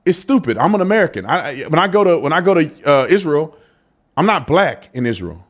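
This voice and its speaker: A man's voice: a little high, brisk. A man hosting a casual commentary show, talking emphatically between clips and repeating himself as he argues his point. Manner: animated, emphatic, repeats phrases.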